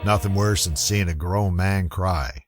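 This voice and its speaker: Very deep-voiced man narrating hard-boiled detective radio. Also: measured pace, faint music behind. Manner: hard-boiled, deadpan, wry. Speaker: narrator of a detective murder mystery. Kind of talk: scripted first-person detective narration, old-time radio mystery.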